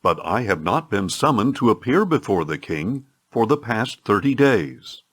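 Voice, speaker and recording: A man voicing a resolute, courteous queen in an audiobook scripture reading, measured and earnest. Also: fairly low pitch, big pitch swings. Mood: apprehensive.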